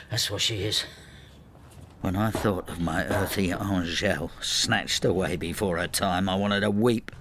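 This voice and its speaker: An actor playing a vengeful, ageing husband in a radio drama, brooding and spiteful, with a gravelly voice. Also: male voice, fairly low pitch, a bit bright, heavily acted. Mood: bitter resentment. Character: bitter, vengeful ageing husband devoted to his cat. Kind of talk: first-person radio drama narration and dialogue.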